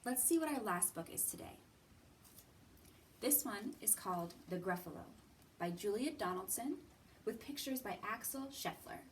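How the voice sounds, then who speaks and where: A woman hosting a children's storytime, reading aloud and leading action rhymes with expressive, simple phrasing for little listeners. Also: mid-range voice, moderate pace, long pauses, a little hiss or hum. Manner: expressive, simple instructions addressed to small children. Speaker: children's librarian and storytime host. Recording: distant microphone.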